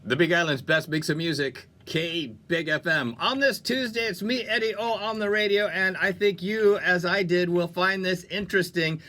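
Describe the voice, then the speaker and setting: A man's voice: sits high, moderate pace, hardly any pauses, pushed and bright. A man presenting a music radio show in an upbeat, chatty and playful style with casual, jokey asides. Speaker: radio DJ and host. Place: radio studio.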